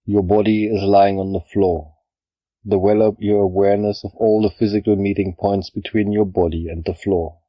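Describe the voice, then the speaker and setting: A man with a low-pitched voice guides a relaxation practice in calm, measured phrases. He gives each instruction plainly and leaves pauses between them. The sound is dry and close, with very little room. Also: no echo, muffled recording. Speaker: yoga nidra guide. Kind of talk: guided meditation, scripted narration.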